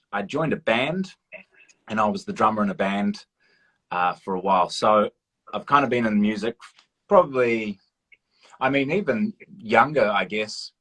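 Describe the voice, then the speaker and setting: A man's voice: fairly low pitch, a little slow, pauses often, a bit bright, rough recording. A man chatting casually as an interview guest, informal and modest, feeling his way through his answers. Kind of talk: casual chat.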